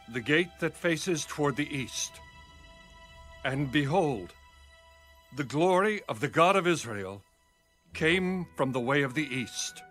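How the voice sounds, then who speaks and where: A man's voice: on the high side, fairly bright tone. A man narrating scripture in a scripted, dramatic audio Bible style.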